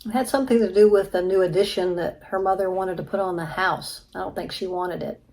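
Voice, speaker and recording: A woman's voice: medium pitch, fairly even intonation, a little fast, darkish tone. Female game player: mid-range voice, casual delivery, short hesitant replies. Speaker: player answering an interactive mystery. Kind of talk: casual spoken answers.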